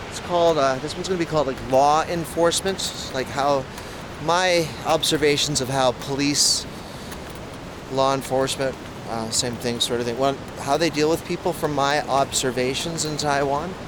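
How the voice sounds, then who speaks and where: A man's voice: medium pitch, fairly even intonation, normal speed, noisy background. A man from Canada talking casually outdoors in a rambling way, often restarting his thoughts while holding his phone. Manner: rambling, thinks aloud with many restarts. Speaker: vlogger. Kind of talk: casual outdoor talk to camera.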